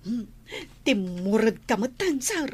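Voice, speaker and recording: A woman's voice: on the high side, sing-song, takes it slowly, darkish tone, slightly rough, exaggerated voice. An actress playing a kindly, chatty female household servant with a Filipino accent, in radio comedy-drama style, with music behind. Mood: coy.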